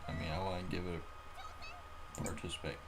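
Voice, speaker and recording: A man's voice: low voice, flat delivery, fairly quick. A man reading visual novel narration and dialogue aloud, sometimes stumbling over the written words. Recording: clear background noise, clicking.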